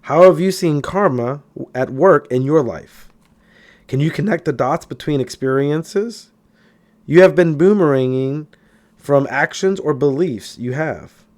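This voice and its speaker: A man's voice: a little high, unhurried, a little mellow. A man reading a spiritual self-help book aloud in an emphatic manner, occasionally stumbling over a word. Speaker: audiobook reader.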